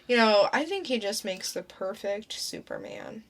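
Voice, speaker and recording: A woman's voice: mid-range voice, lively intonation, unhurried. A young woman co-hosting a podcast, chatting casually and reacting with short, eager remarks. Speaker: podcast co-host. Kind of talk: casual chat.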